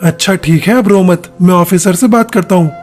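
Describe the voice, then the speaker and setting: A man voicing a reassuring male pigeon office clerk in a cartoon, brisk and placating. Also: high voice, darkish tone, soft background music, pitched below the performer's own voice, natural voice.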